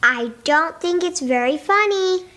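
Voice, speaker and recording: A woman's voice: very high voice, lively intonation, measured pace, fairly bright tone, exaggerated voice. A female performer playing an earnest young girl co-hosting a kids' podcast in a radio play. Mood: matter-of-fact. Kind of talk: scripted radio play acting.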